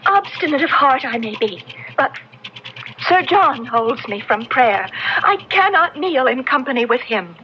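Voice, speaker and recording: A woman's voice: sits high, big pitch swings, a little slow, a little hiss or hum. A woman playing a frail yet defiant young maiden accused of witchcraft, formal and earnest, in a radio drama. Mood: defiant, wary. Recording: dull old radio drama recording.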